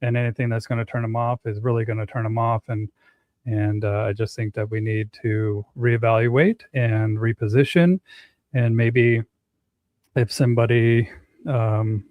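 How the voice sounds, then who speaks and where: A middle-aged man hosting a casual talk, speaking earnestly with frequent pauses and restarts. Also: medium pitch, level tone, dry recording, narrow, tinny audio. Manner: earnest, halting, many restarts. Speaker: host. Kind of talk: casual conversation.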